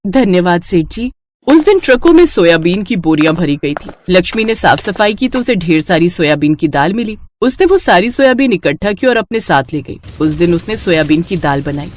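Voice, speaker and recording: A woman's voice: on the low side, very animated, a little fast, hardly any pauses, slight background noise. A woman giving scripted narration of children's moral stories as a storyteller.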